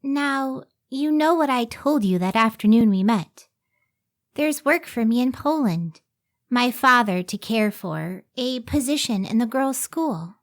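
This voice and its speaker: A woman's voice: fairly high pitch, animated, a little slow. A woman playing a thoughtful, dutiful young woman scientist in an old-time radio drama style. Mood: gently resolute.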